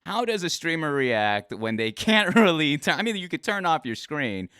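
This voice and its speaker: A man's voice: a little high, very animated, normal speed, sharp tone, clean tone, no echo, studio quality. A man hosting a casual interview show, talking in a rambling, friendly way with plenty of fillers and restarts. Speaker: podcast host.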